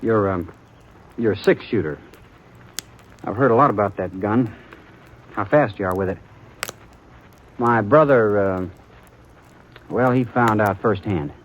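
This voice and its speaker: An American man playing a nervous, earnest, proud young suitor in a 1950s radio western, speaking with halting, tense rustic politeness. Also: fairly low pitch, natural voice. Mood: nervous, respectful. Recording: dull, faintly noisy old radio broadcast.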